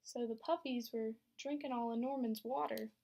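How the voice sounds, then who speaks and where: Young woman doing a storybook read-aloud for kids, fairly high voice, lots of expression. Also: normal speed, vocal fry. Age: young adult. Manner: expressive storytelling with teacher questions. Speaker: student teacher reading aloud. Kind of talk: picture book read-aloud to a class.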